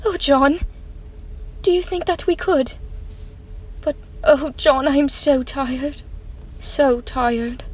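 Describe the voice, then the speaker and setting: A woman's voice: high voice, steady tone, takes it slowly, pauses often, darkish tone, low-quality recording. A woman playing a frail, languid young bride-to-be haunted by dreams, acted breathlessly and melodramatically for radio drama. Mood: weary, wistful. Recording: dramatic music under the voice.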